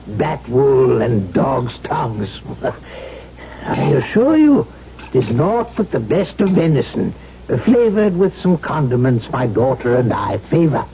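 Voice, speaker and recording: A man's voice: fairly high pitch, exaggerated voice. A man playing a courtly, cryptic old alchemist in a radio drama, formal and wryly hospitable. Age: older. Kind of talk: 1970s radio drama acting. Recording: dull, hissy 1970s radio broadcast.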